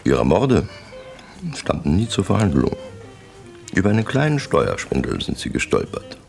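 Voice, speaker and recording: An actor playing a coldly pompous crime syndicate boss in a radio drama, deliberate, terse and menacing, as if lecturing. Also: male voice, deep voice, wildly swooping pitch, creaky, a little mellow, soft background music, heavily acted. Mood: lecturing, disdainful.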